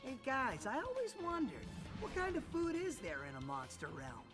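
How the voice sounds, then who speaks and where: A laid-back, goofy little brother turtle from a game. He sounds naive and playful, his pitch leaping all over the place above the background music. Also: huge pitch swings, moderate pace, heavily acted. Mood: puzzled. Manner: playful, naive, casual slang.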